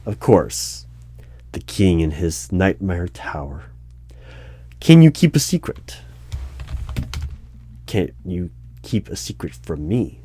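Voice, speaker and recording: A man playing a dry, unflappable hiring manager in a one-sided ASMR roleplay job interview, asking measured, halting questions. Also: sits low, sing-song, warm tone, breathy edge, exaggerated voice. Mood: curious, deliberate. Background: computer keyboard typing and clicks.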